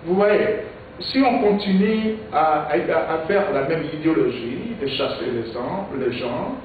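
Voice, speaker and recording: A man from Kinshasa, a politician giving interview answers in a halting way, repeating words and using many fillers. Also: fairly high pitch, lively intonation. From Congo (Kinshasa). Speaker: politician, presidential hopeful. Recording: echoey, distant, phone-like with noise.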